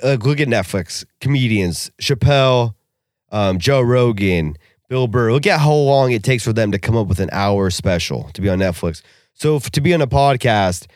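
Young man, podcast co-host, loose profane rant, mid-pitched voice, dry close recording. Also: dry recording. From Russian family background. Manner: profane, animated ranting. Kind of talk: casual podcast chat.

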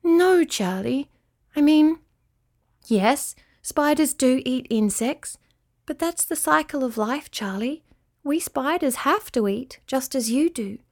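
A woman voices a proud, kindly lady spider from a storybook. Her voice is silky and whispery, lilting upward as she patiently explains, with gentle care and an unhurried pace. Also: high voice, expressive, pitched above the performer's own voice, full character voice. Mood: reassuring. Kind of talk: children's storybook character voice.

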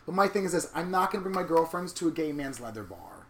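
A man's voice: fairly high pitch, fast talker, creaky. Outspoken man in his thirties. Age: 30s. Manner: blunt, animated and outspoken. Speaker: podcast co-host. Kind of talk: candid casual chat. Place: New York.

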